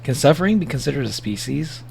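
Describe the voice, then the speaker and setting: A man with a gravelly voice giving a casual livestream reaction, rambling and joking playfully as he comments and reads bits aloud. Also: mid-range voice, expressive, fast talker, darkish tone, a little hiss or hum. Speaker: streamer reacting to horror videos.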